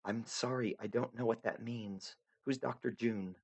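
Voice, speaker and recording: A man voicing a polite, soft-spoken young troll girl who is lost and lonely, speaking in a hushed, earnest way. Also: mid-range voice, steady tone, a little slow, breathy edge, pitched above the performer's own voice. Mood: polite, puzzled.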